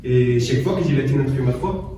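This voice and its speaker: A man's voice: medium pitch, a little fast, dark tone. A man speaking as a game show contestant, giving a prepared personal account from far off in an echoey room. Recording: very echoey, distant, faintly noisy room.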